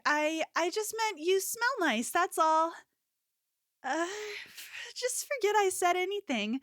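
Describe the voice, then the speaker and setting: A woman's voice: sits high, no room sound, clean studio sound, heavily acted. A woman playing an obsessive, possessive convenience store cashier in an ASMR roleplay, pleading and unsettlingly sweet. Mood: flustered. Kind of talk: ASMR roleplay, scripted character acting.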